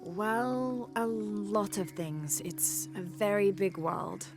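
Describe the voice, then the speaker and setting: A woman's voice: medium pitch, fairly even intonation, takes it slowly, over music. An actress playing a determined young woman adventurer, focused and understated. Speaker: explorer.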